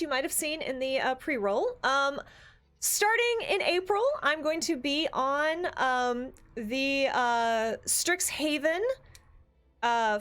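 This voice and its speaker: A woman's voice: high voice, a bit bright. A woman hosting a tabletop gaming stream in casual, chatty style, often breaking off and picking her thought back up. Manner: chatty, informal, self-interrupting. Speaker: host of a tabletop role-playing talk stream. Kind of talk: casual livestream chat.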